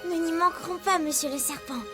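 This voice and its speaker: Very high, earnest little girl cub. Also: a little fast, over music. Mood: hopeful, earnest. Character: young female animal cub, hopeful, polite and brave. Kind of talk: children's cartoon dubbing.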